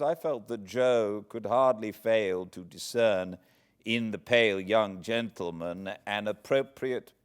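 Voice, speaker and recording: A man, reading a classic novel aloud in measured, expressive storytelling. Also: on the low side. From Britain. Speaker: audiobook narrator. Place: cozy home spot beside a fireplace.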